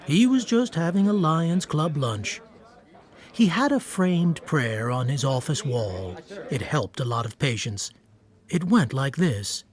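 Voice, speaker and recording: A man narrating a radio drama in a measured, literary storytelling manner. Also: on the high side, sing-song. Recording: noisy ambience under narration.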